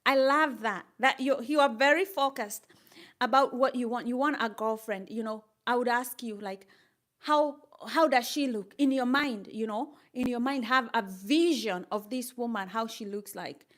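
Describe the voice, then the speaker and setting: A woman's voice: on the high side, normal speed, fairly bright tone. Upbeat older Kenyan woman streaming. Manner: upbeat and encouraging, reads out chat comments, laughs often. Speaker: livestreamer. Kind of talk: casual live stream chat with viewers.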